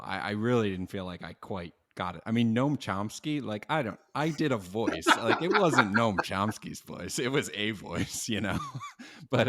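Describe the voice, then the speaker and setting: Low-pitched man, hesitant and self-deprecating. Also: a bit bright, no room sound, clean studio sound. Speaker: voice actor being interviewed. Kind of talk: casual chat.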